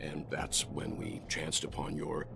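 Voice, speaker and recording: An actor playing a calm, mature male space traveller in a video game, polite, measured and earnest. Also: rumbling low, vocal fry, heavily acted. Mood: apologetic. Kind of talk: scripted video game voice acting.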